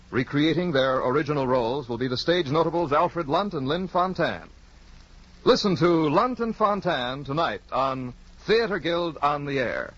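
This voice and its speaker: A man's voice: on the high side, fairly bright tone. An American man announcing in formal, scripted 1950s network radio style, enunciating with care. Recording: dull old-time radio recording.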